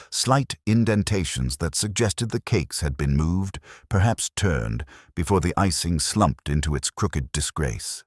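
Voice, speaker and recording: A man narrating a cosy mystery story in a measured, formal, literary manner. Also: a bass voice, no echo, clean studio sound. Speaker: audiobook narrator.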